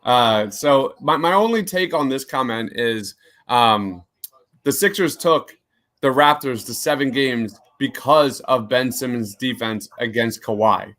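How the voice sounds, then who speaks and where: A man's voice: mid-range voice, measured pace, a bit bright. A young man co-hosting a live sports podcast, reading viewer comments aloud and cheering on his team. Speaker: podcast co-host. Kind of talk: live game reaction chat.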